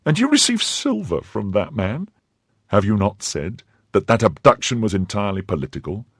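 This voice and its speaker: A man voicing an indignant young wife who scolds her husband, pushing his husky voice higher. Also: sing-song, moderate pace, no echo, clean studio sound, heavily acted. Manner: scornful, pleading and scolding by turns. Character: young woman, indignant, fiercely loyal wife.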